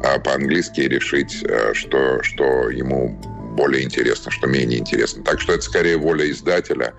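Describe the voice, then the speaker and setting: A man being interviewed as a writer, answering off the cuff in a halting way, searching for words. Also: extremely low pitch, creaky, soft background music. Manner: halting, searching for words, frequent hedging phrases.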